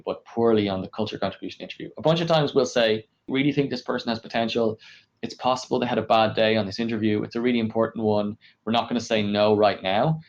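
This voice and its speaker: A man explaining his work in a conversational interview, measured and explanatory, as a podcast guest. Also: fairly low pitch. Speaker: podcast guest, engineering director. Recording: dull, lo-fi podcast audio.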